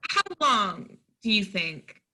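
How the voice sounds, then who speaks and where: Casting: actress as naive, excitable small-town young woman, stage dialogue; bright, unhurried, nervous and hesitant. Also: medium pitch, slight background noise, exaggerated voice. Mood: eager, excited. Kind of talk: play reading, stage comedy-drama dialogue.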